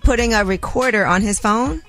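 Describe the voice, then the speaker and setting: A woman's voice: fairly high pitch, moderate pace, fairly bright tone, faint music behind. A woman hosting a radio show, chatting in a casual, teasing way and laughing easily. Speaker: radio host. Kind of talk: casual morning radio banter. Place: radio studio.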